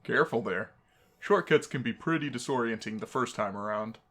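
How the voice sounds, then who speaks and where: A man voicing a laid-back, friendly skeleton in a video game, casual, unhurried and reassuring. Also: mid-range voice, fairly bright tone, close to an everyday voice. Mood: easygoing, reassuring.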